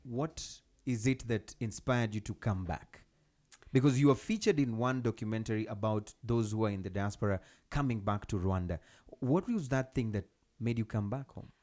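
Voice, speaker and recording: Halting man hosting a radio interview. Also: lowish voice, a little mellow. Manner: halting, repeats words and restarts often. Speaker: radio show host. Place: radio studio.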